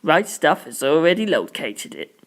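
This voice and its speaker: A narrator voicing an eager, cocky male spaceship crewman in an audiobook, brisk and confident. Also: mid-range voice, big pitch swings. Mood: excited, confident.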